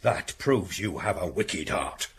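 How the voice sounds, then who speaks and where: A cold stern churchy school patron, slow preachy lines, radio drama. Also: male voice, deep voice, animated, slight background noise. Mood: preaching. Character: stern, pious male school patron, middle-aged.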